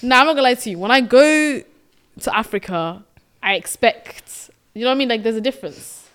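A young woman with a British accent tells stories in easy podcast chat. She talks in an animated way and breaks into laughter often. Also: fairly high pitch, a little fast, clean tone. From Sweden. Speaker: podcast co-host. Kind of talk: casual chat.

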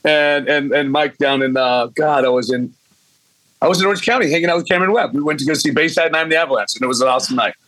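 A man's voice: fairly high pitch, fast talker, a bit bright, dry recording, poor audio. A man, a band singer, telling stories in a laid-back, easygoing way as a guest on a video call. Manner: laid-back, easygoing storyteller, casual swearing. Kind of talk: casual video-call interview.

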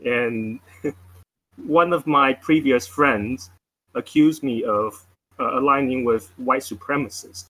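A man's voice: mid-range voice, takes it slowly, bright, forceful tone, rough recording. A man from Taiwan calling in to a show, talking casually with many fillers and hesitations. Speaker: caller. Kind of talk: casual call-in chat.

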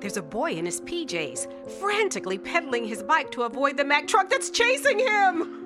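A woman's voice: sits high, very animated, moderate pace. Woman acting as a wide-eyed dream onlooker, super swoopy voice, music behind. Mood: amazed. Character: amazed onlooker describing sleepers' dreams. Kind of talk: radio drama narration.

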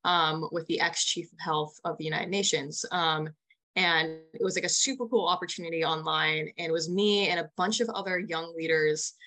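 Young American woman, early twenties, lower-pitched voice, chatty and enthusiastic interview guest. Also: steady tone, normal speed, bright, forceful tone, rough recording. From United States. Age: early 20s. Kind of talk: casual spoken interview answers.

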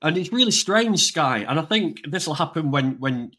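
A man's voice: fairly high pitch, animated, normal speed, bright, forceful tone. An older man hosting a casual livestream chat over a video call, rambling with frequent restarts. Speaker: online vegan channel host.

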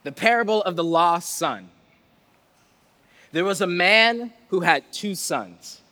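A man's voice: sits high, lively intonation, takes it slowly, sharp tone. A man preaching a sermon in a conversational, informal and playful manner, sometimes reading scripture. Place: large reverberant room before a live audience. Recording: long hall reverb.

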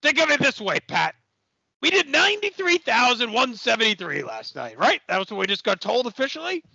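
Raspy, high, manic wrestling tycoon. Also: male voice, sing-song, dry recording, dull audio, exaggerated voice. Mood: boastful. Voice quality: gravelly, strained growl. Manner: bombastic, abruptly shouting, drawn-out emphasis. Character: brash, boastful, manic wrestling tycoon boss. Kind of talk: comedic audio play acting.

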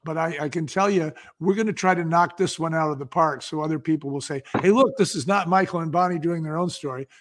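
Man, fairly high voice, podcast guest, casual storytelling, enthusiastic pitchman manner. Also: fast talker, hardly any pauses. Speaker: podcast guest, business founder. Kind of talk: casual interview talk, storytelling.

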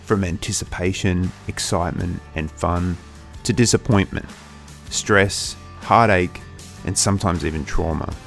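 A man hosting a podcast, narrating a script in a measured, earnest way. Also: sits low, gravelly, a bit bright. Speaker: podcast host. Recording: music bed underneath.

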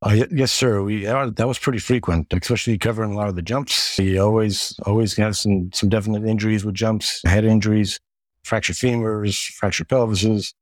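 A man's voice: on the low side, fairly even intonation, fairly quick, no room sound. A middle-aged man, born in the United States with Hungarian as his first language, answering interview questions steadily and listing things with frequent word repeats. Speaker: guest, retired Air Force medic.

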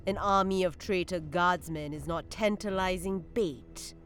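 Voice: medium pitch, measured pace, a bit bright, natural voice. A performer voicing a cool, calculating traitor psyker who speaks in a precise way. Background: music.

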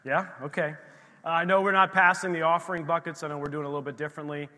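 Male pastor, bright tense voice, a bit hoarse, big church reverb. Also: on the high side, little pitch movement. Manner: emphatic preaching, reads scripture slowly and loud. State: slightly losing his voice. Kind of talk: sermon. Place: church sanctuary. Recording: long hall reverb of a church.

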